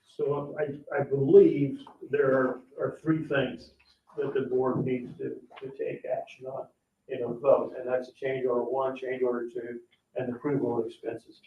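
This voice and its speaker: A man's voice: medium pitch, little pitch movement, a little slow, a little hiss or hum. A man speaking as a town official, explaining procedure at a public board meeting. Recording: echoey, muffled meeting room, distant mic.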